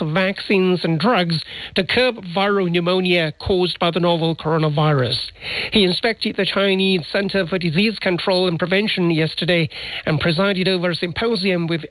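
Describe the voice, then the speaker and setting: A man's voice: high-pitched, fairly bright tone, no room sound, low-quality recording. A man reading scripted news as a radio anchor, heard over a shortwave broadcast.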